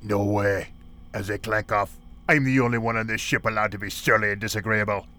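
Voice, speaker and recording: A man's voice: fairly low pitch, level tone, brisk, sharp tone. A man playing a surly, menacing alien warrior officer in a comic radio play. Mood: gruff relish. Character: surly, menacing alien warrior lieutenant, male, relishing violence. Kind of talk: parody radio play acting.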